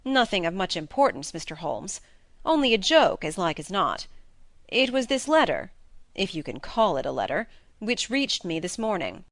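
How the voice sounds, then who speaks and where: A woman voicing a brisk, blunt young baronet in an audiobook, with emphatic phrasing. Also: lowish voice, sing-song, dry recording. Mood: brisk, animated. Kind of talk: audiobook character reading.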